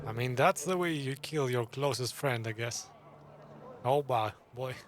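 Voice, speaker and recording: A man's voice: medium pitch, unhurried. A man hosting a gaming channel, making casual, reactive remarks over game cutscenes. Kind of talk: casual let's-play commentary.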